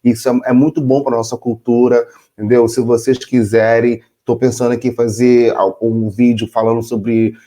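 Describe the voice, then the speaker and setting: A man's voice: mid-range voice, moderate pace. A middle-aged man from Brazil co-hosting a casual chat, explaining with rhetorical questions to the audience. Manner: relaxed, chatty, says "entendeu?" often. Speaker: co-host.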